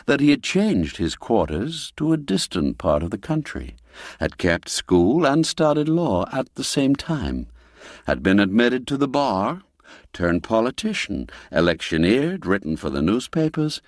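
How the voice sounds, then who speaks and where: A man reading a classic tale aloud in a measured, expressive storytelling manner. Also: fairly low pitch, no echo, clean studio sound. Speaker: narrator. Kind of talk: scripted storybook narration.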